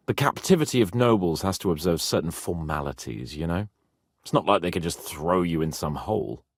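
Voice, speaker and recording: A man's voice: deep voice, expressive, brisk, husky, no room sound, studio quality, heavily acted. A male actor playing a haughty, hot-headed young medieval nobleman in a video game, with a lordly, sweary manner. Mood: matter-of-fact. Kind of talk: dramatic video game voice acting.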